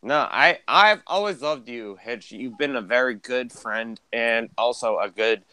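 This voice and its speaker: A man in his twenties bantering in a casual group chat, teasing and quick to get defensive. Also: medium pitch, bright, forceful tone, clear, resonant tone, no room sound, low-quality recording. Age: 20s. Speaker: podcast co-host.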